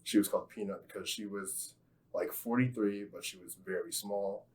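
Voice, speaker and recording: A man's voice: lowish voice, steady tone, moderate pace, poor audio. A young man telling an anecdote casually, in a chatty, jokey way. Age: young adult. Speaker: student guest, fiction genre editor.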